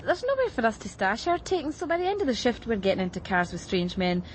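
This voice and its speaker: An actress playing a blunt, gossipy, streetwise Scottish prostitute in a radio crime drama. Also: female voice, fairly high pitch, very animated, quick pace. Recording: noisy street background.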